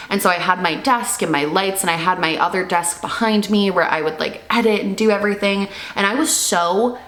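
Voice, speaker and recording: A young woman reacting to her old videos at home, chatty with many "like" fillers, her pitch swinging as her feelings change. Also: fairly bright tone, reverberant. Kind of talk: casual reaction chat.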